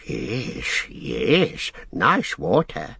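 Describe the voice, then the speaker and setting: A man voicing a thin, wretched, wheedling creature in an audiobook, raspy and hissing, dragging out and repeating words and talking about himself in the third person. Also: deep voice, huge pitch swings, extremely slow, bright, forceful tone, dry recording, studio quality, heavily acted. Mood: eager greedy. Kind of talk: audiobook character acting.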